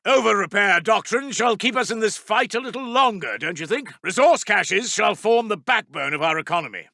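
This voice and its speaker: A man playing a brisk, dutiful British Second World War intelligence officer in a strategy game, with a clipped, formal, commanding delivery. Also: high voice, pushed and bright, no room sound, studio quality, heavily acted. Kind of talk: military strategy game unit announcements.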